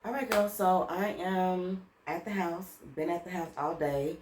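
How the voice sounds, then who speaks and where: Low-voiced chatty woman, slightly congested. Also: fairly even intonation, unhurried, background noise. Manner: chatty, addresses viewers as "girl". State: slightly congested from a lingering cold. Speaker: vlogger and author. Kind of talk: casual chat to camera. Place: at home. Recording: roomy echo.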